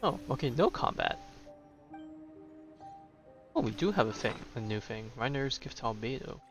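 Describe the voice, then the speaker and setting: A man's voice: mid-range voice, lively intonation, normal speed, fairly bright tone, faint music behind. A man giving casual gameplay commentary as a streamer, musing aloud, on a dull, lo-fi microphone. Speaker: game streamer.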